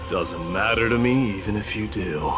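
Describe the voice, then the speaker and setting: A male actor playing a proud, stoic, gruff warrior in a video game, terse and haughty. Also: on the low side, normal speed, over music, close to an everyday voice. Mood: coolly confident.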